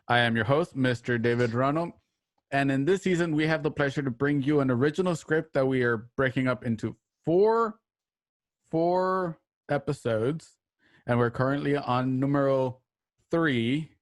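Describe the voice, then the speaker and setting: Male host, mid-low voice, theatrical announcer delivery, playful banter, close dry recording. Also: no room sound. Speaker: host of a radio drama show. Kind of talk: show introduction with joking banter.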